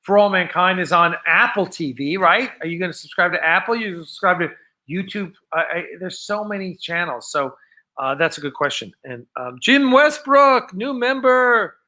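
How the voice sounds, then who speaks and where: An American man hosting a casual live fan show, chatting off the cuff in a rambling, halting way that often trails off. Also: high voice, animated, sharp tone. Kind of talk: casual unscripted livestream chat.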